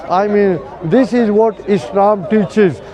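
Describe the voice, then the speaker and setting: A man's voice: high voice, slow, crowd noise. An older man talking earnestly with a passer-by on a city street, explaining his views with many fillers. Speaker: street preacher. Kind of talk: street conversation. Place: busy city street outdoors.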